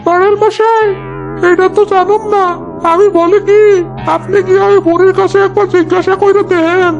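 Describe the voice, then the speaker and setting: Woman putting on a squeaky-high rustic henchman. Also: fairly even intonation, moderate pace, dull audio, over music. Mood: deferential. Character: deferential rustic male sidekick of the headman. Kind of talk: Bengali children's cartoon dubbing.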